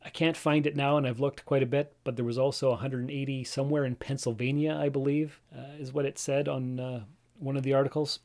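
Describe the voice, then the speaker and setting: A man's voice: medium pitch, level tone, a little fast, darkish tone. A man in his forties hosting a casual solo show, rambling with frequent fillers and restarts and addressing his listeners directly. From Canada. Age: 40s. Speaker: podcast host.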